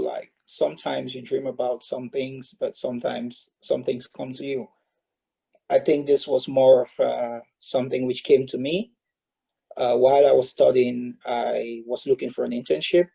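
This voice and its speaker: A man's voice: medium pitch, steady tone, unhurried, gravelly, dark tone, husky, dull audio. A man in his thirties from Cameroon, a guest in an online interview, speaking hesitantly with many filler sounds. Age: 30s. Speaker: guest, solar industry expert.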